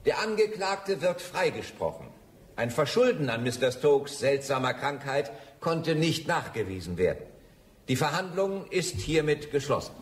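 A man's voice: a little high, big pitch swings, normal speed. Skeptical male judge, radio play; roomy echo, formal, authoritative, stern. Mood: solemn. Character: stern, skeptical male judge presiding in a 19th-century court. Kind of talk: German radio drama acting. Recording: echoey room, faint noise and ambience.

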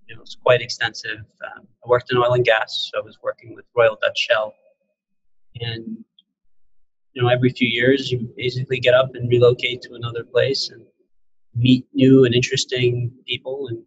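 A man in his forties talks through his story on a video call, with a husky, slightly breathy voice. He speaks in an even, fairly flat way, rambling easily and filling pauses with "uh". The sound is dull and lo-fi, the way a laptop mic records it. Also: medium pitch. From Syria, raised in New York and New Jersey. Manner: relaxed, rambling, many "uh" fillers and restarts. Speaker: guest, real estate investor. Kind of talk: casual interview on a video call. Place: indoor room, on a video call.

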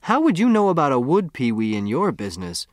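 A man's voice: mid-range voice, wildly swooping pitch, fairly quick, no room sound, clean studio sound, voice pushed up. A man voicing an earnest, curious boy who lives in the woods, in an audiobook. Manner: earnest, inquisitive.

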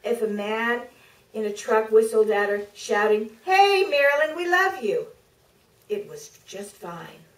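A middle-aged woman author giving a measured, deliberate staged reading from a podium, with pauses for effect. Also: a little high, lively intonation, distant mic. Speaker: author reading from her book. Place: theater stage, filmed from the audience. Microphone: podium microphone heard through distant camera. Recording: roomy theater, picked up from afar.

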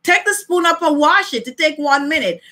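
A woman's voice: high-pitched, lively intonation, normal speed, pushed and bright. A middle-aged woman with a Jamaican accent, chatting casually to livestream viewers and repeating phrases for emphasis. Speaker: beauty vlogger hosting a live chat. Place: small furnished room at home, indoors.

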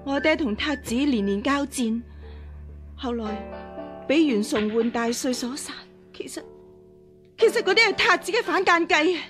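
An actress in a radio drama playing a frightened, scheming fake empress who pleads and stammers. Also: female voice, sits high, lively intonation, moderate pace, long pauses, a bit bright, soft background music. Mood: earnest confessing. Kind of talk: Cantonese radio drama acting.

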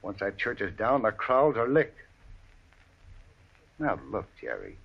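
A man's voice: on the low side, wildly swooping pitch, unhurried, gravelly, husky, heavily acted. An actor playing a tough, bullying henchman in an old-time radio western. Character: tough, bullying outlaw henchman, cowed by boss. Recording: muffled vintage radio recording.

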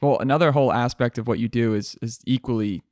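A man's voice: medium pitch, fast, a little mellow, no room sound. A young man, hosting a casual interview close on a podcast microphone. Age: young adult. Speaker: podcast host. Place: room beside window, indoors. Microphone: close podcast microphone with headset.

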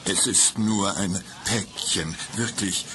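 An actor playing an older, avuncular country pastor in a children's radio play, speaking in an unctuously friendly, patronising way. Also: male voice, low-pitched, slow pace. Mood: polite, coaxing. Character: genial, polite, avuncular male country pastor. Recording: old audio drama with background noise.

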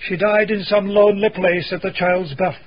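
An actor playing a grave, stern Scottish minister in a radio mystery, speaking solemnly and deliberately. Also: male voice, high-pitched, level tone. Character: grave elderly Scottish minister, self-deprecating and morally stern. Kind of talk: dramatic radio acting. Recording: dull, faintly noisy radio recording.

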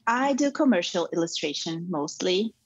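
A woman's voice: on the low side, lively intonation, moderate pace, husky, no room sound, lo-fi sound. A woman, explaining things casually as a guest on a video call. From Brazil. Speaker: podcast guest, illustrator. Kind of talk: casual explanatory interview answers. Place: indoor room, video call.

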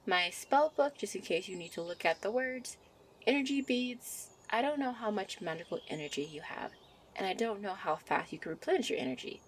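Woman playing shy young female fairy, soft and coy, easily flustered, mid pitch, ASMR roleplay, birdsong ambience. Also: expressive, brisk, slight background noise, close to an everyday voice. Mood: gentle, instructive. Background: birdsong and outdoor ambience.

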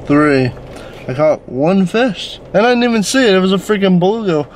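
A man's voice: high-pitched, lively intonation, moderate pace, slight background noise. Joking young man vlogging on camera. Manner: loose, joking, swears casually. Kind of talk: casual vlog chat. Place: inside a car, then outdoors and at home. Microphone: handheld camera close up.

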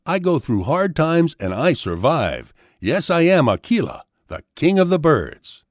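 A man's voice: fairly high pitch, huge pitch swings, unhurried, dry recording, studio quality, voice pushed up. A man voicing a proud, boastful magical peacock, king of the birds, in a grand declarative manner for a children's story.

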